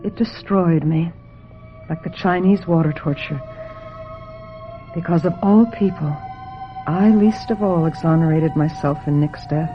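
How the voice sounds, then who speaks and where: A dull, band-limited old radio drama recording with music, featuring a fragile film actress racked with guilt. She speaks slowly and haltingly, with a breathy, melodramatic edge. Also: low-pitched. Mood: despairing, guilt-ridden.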